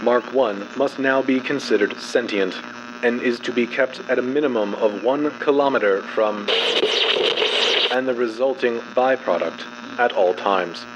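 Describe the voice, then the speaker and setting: A man giving a formal, measured, scripted dramatic narration of a clinical report. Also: medium pitch, very noisy.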